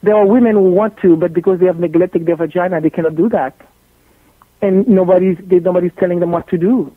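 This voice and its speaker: A woman's voice: lowish voice, steady tone, quick pace, dry recording. A woman from Haiti with a French accent, a gynecologist guest in a radio interview, speaking bluntly and jokingly and repeating herself for emphasis. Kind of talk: animated radio interview talk. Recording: tinny phone-like line.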